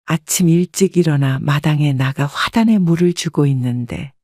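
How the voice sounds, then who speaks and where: A woman narrating a first-person family story in a calm, measured manner. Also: low voice, no room sound, studio quality.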